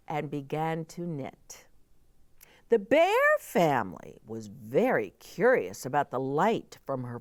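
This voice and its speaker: A woman's voice: fairly low pitch, no room sound. A middle-aged woman reading a children's story aloud, expressively, with chatty asides explained simply as if to young children.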